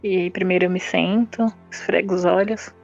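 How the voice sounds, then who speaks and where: A woman's voice: on the low side, fairly even intonation, normal speed, creaky. A woman, a roleplay player, narrating what her character does. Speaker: tabletop RPG player. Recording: muffled lo-fi audio under music. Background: background music.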